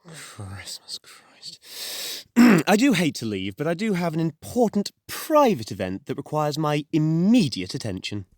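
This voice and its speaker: A man playing a blustering young mafia don, swooping pitch. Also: wildly swooping pitch, unhurried, few pauses, no room sound, studio quality, full character voice. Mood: flustered. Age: young adult. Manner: stammering, blustering, nervously polite. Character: cowardly loudmouthed young male mafia don, fawning and flustered. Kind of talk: audio roleplay acting.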